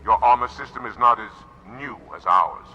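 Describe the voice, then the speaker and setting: A disciplined alien commander from a game, voiced by a man in a steady, commanding tone. The audio sounds somewhat dull, and aircraft engines roar clearly behind him. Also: medium pitch, slight background noise, lightly acted. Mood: stern. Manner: measured and commanding. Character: disciplined male alien special-ops commander.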